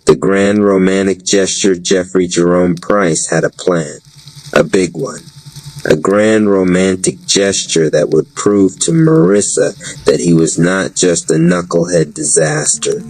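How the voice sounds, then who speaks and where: A man's voice: deep voice, unhurried, a little mellow, no room sound, rough recording. A man reading a scripted story as its narrator.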